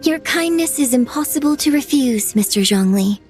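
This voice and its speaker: A woman's voice: high voice, animated, normal speed, a little mellow, soft background music. A woman voicing a gracious, polite young female writer who speaks with poise in a game cutscene. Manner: courteous, poised, formal.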